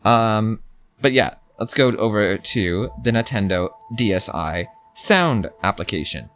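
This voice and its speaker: A man's voice: lowish voice, animated, measured pace, a bit bright, a little hiss or hum. A man presenting a hands-on tech review, informally talking through each step as he goes. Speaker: video reviewer.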